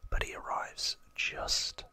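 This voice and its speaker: An ASMR host whispering casual, informal trivia narration in a breathy, unvoiced voice. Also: slow pace, bright, forceful tone, no echo.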